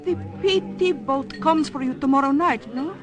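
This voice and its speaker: An actress playing a wistful, lovestruck young Italian woman, with an Italian accent and halting phrasing, in 1950s radio drama. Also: high-pitched, lightly acted. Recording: old-time radio recording with music behind.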